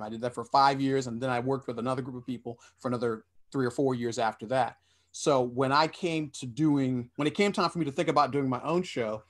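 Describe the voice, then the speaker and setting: A man in his forties on a headset at home, speaking earnestly on a video-call panel and often restarting his sentences. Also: mid-range voice. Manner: earnest, explanatory, with frequent restarts. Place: small room indoors.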